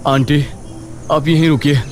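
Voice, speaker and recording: A man's voice: a little high, steady tone, slight background noise, voice pushed up, close to an everyday voice. A man voicing an anxious young man searching for his missing friend, talking urgently and in a rush. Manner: urgent, rushed, nervous. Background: background music.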